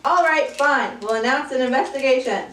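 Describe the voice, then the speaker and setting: A woman's voice: high voice, normal speed, a bit bright, a little hiss or hum. A woman playing a melodramatic, wronged Ukrainian president in an amateur mock-tragic satire. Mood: grudging. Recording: echoey room, distant mic.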